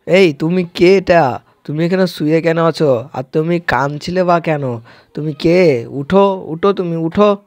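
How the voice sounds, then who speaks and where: Man as a earnest cartoon village boy, raised pitch. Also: a little slow, darkish tone, no echo. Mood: concerned. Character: kind, lonely young orphan village boy. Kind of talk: amateur cartoon story voicing.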